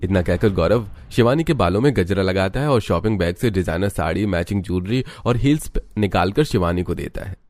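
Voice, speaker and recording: A man's voice: low voice, lively intonation, fairly quick, hardly any pauses, a little mellow, dry recording. A man narrating a scripted cartoon story.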